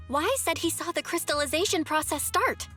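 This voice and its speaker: A woman voicing a curious, energetic young woman in a game cutscene, asking quick questions. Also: very high voice, faint music behind.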